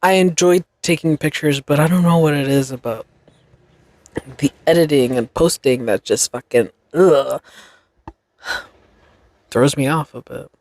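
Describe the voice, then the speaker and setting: A woman's voice: low-pitched, a little slow, long pauses, a little mellow, no room sound. A young woman hosting her own podcast, talking alone in a casual, reflective, rambling way with plenty of fillers. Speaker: podcast host. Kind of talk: casual solo podcast monologue.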